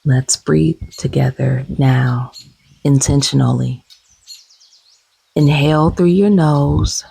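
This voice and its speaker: Slow, creaky, hushed woman guiding meditation. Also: low-pitched, rough recording. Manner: gentle, soothing, unhurried with long pauses. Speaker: guided meditation leader. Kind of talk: guided meditation, breath and body scan.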